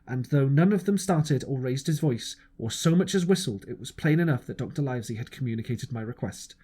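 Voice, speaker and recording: A man with a fairly high voice reads a story aloud as an audiobook. It is scripted first-person narration. He sounds like an amateur reader, occasionally tripping on a word. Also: darkish tone.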